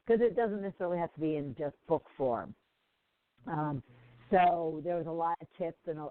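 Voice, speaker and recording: A woman's voice: low voice, no echo. A woman hosting a call-in radio show while sick, talking loosely with many ums and restarts. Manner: rambling, with frequent ums and restarts. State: sick, slightly congested. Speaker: talk radio host. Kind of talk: casual call-in radio talk. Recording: tinny dry phone line.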